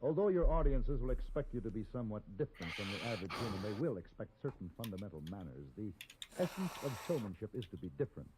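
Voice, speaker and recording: Brief: cool, lecturing gentleman gunman, deep-ish, lively phrasing, hissy old radio tape. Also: fast. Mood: dry, lecturing. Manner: polished, dry, formal diction. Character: suave, lecturing gentleman gunfighter, adult man. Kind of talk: 1950s radio drama acting.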